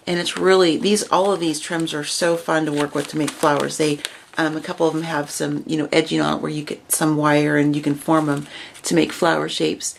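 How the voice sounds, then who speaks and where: A woman's voice: lowish voice, gravelly. A woman with a crackly voice from a starting cold, chatting casually with many ums while showing off a craft project. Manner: chatty, many ums, points out details step by step. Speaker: craft video host. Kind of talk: casual show-and-tell craft video.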